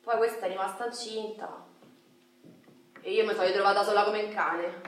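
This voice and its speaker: An actress playing a frustrated, naive and dreamy working-class Roman wife in a radio play, rambling colloquially with a Roman accent. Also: female voice, medium pitch, steady tone, normal speed, natural voice. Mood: wistful, amused. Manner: colloquial, rambling, tags phrases with "no?" and "eh". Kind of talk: theatrical radio drama monologue in dialect. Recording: roomy, echoing, distant mic, faint noise.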